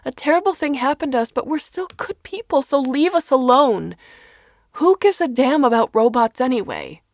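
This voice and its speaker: A woman's voice: high voice, animated, normal speed, no echo, studio quality, pitched above the performer's own voice. A woman voicing a desperate, sobbing mother who pleads insistently in an audiobook story. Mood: anguished, defensive.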